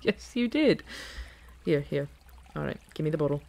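A woman playing an earnest, playful dragon in human form in a fantasy ASMR roleplay, with a casual, teasing, halting delivery. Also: low-pitched, lively intonation, vocal fry, a little mellow.

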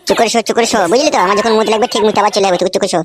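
Woman playing a fast-talking quarrelsome village husband. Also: mid-range voice, a crowd in the background, close to an everyday voice. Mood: defiant, scolding. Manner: scolding, coarse, swearing. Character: crude, quarrelsome village husband with two wives. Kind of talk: comic cartoon dialogue.

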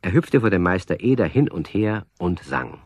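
A man playing the storyteller of a children's radio play, narrating in a husky, measured, confiding voice. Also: low-pitched, sing-song, no echo, studio quality, exaggerated voice. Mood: storytelling. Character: male storyteller addressing child listeners.

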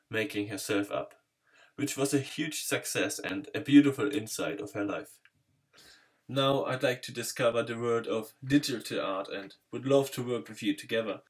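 A man in his thirties from Germany with a German accent, earnestly reading a scripted introduction of himself to a webcam in a small bedroom. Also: lowish voice. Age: 30s. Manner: friendly, earnest, steady read-out delivery. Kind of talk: scripted self-introduction to camera.

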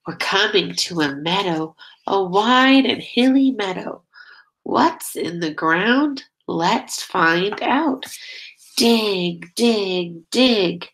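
A woman's voice: mid-range voice, expressive, very slow, a bit bright, a little hiss or hum, low-quality recording. A middle-aged woman reading a picture book aloud as a teacher, expressively, drawing out key words and prompting young listeners with questions. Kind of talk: children's read-aloud.

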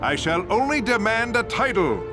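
An actor voicing a scheming billionaire villain in a video game, grandiose and self-important. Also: male voice, sits high, very animated, moderate pace, music in the background. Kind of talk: video game cutscene voice acting.

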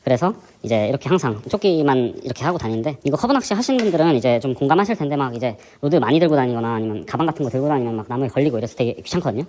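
A man with a hoarse voice from a sore throat, hosting a fishing channel and chatting through his gear in a casual, explanatory way. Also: mid-range voice, lively intonation, racing, few pauses, darkish tone. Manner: chatty, explanatory, many fillers. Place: indoor gym.

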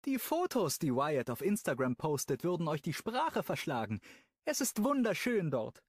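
A male audiobook narrator voicing a nervous, chatty middle-aged mother in a high, breathless voice. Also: big pitch swings, normal speed, slightly rough. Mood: eager. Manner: high, breathless, eager to change the subject.

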